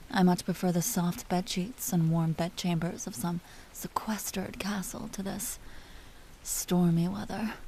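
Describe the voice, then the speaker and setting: A woman playing an elegant vampire duchess in an audio roleplay, speaking softly with formal, languid, teasing courtesy and an amused, predatory air. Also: lowish voice, slight background noise, low-quality recording. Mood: poised, weary. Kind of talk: dramatic roleplay audio performance.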